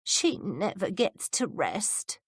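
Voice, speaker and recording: A woman voicing a frail, forgetful old woman with a quavery, sing-song voice who rambles slowly. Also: lowish voice, big pitch swings, husky, no room sound, heavily acted. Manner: rambling, slow.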